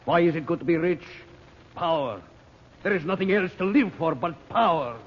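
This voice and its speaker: A man's voice: on the high side, expressive, normal speed, slight background noise, natural voice. Man playing a grandiose radio villain, muffled old recording. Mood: grandiose, scheming. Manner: grandiose, declamatory. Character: megalomaniac villain scientist, cruel, grandiose, menacing. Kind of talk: old-time radio serial acting.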